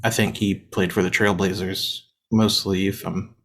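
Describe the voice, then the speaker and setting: A man in his thirties co-hosting a casual sports podcast, feeling a bit unwell, talking in a relaxed way with plenty of fillers. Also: deep voice, moderate pace. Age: 30s. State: under the weather, voice deeper than usual. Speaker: podcast co-host.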